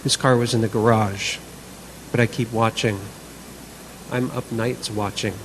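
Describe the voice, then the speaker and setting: A man's voice: fairly low pitch, steady tone, measured pace, background noise, lightly acted. A man performing a dramatic monologue as a bitter, sleepless man who obsesses over a grudge, aggrieved and sarcastic. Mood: brooding resentment. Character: bitter, sleepless man brooding over a feud with his neighbour. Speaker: reader in a church service skit.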